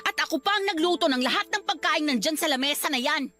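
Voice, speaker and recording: A high, animated young mother and wife played by a woman, emotional and expressive in radio drama style. Her pitch swings widely, and music plays softly behind her. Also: quick pace. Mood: angry, sarcastic. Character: devoted young mother and resentful, sharp-tongued wife. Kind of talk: Tagalog radio drama acting.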